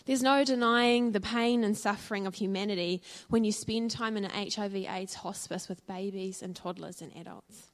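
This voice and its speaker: A woman with a New Zealand accent shares her faith story at a church gathering, in an earnest, reflective tone. She often pauses on ums and uhs as she speaks. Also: medium pitch, rough recording. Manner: earnest and reflective, with frequent fillers. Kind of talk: personal testimony talk.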